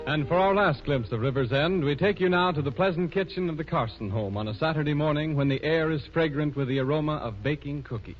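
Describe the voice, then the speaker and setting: A male radio announcer reading a script in a formal, measured 1930s sales style. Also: a little high, animated, music in the background. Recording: muffled 1930s radio recording.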